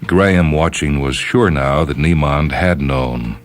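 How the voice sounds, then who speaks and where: A man hosting and reading a story as scripted dramatic narration, in a deliberate, measured manner. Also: a bass voice. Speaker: host and story reader. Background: music under the voice.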